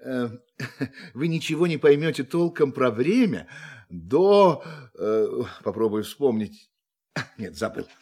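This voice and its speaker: A man's voice: mid-range voice, expressive, slow, darkish tone. An actor in a radio play voicing a whimsical, condescending visitor from another world, theatrical and airily amused, drawing out his words. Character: whimsical, condescending male alien visitor from the future.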